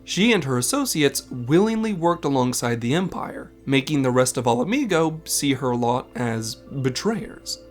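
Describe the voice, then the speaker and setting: A man's voice: mid-range voice, lively intonation, moderate pace, hardly any pauses. Man narrating a scripted video essay over music. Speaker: video essay narrator and game content creator.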